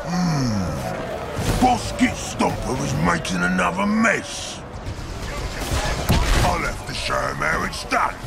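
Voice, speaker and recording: Casting: crafty gravelly orc schemer, high and swooping, game cinematic with music. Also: male voice, sing-song, slow, long pauses, heavily acted. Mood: grumbling. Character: cunning, scheming, resentful male orc warlord. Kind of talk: cartoonish video game acting. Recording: music behind the voice.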